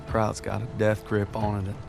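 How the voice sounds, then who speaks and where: An actor playing a weary young American soldier, narrating somberly in a game cutscene. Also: male voice, completely flat delivery, moderate pace. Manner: somber, gravelly, low-key. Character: young male American GI, weary, homesick private. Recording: narration over loud music. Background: music.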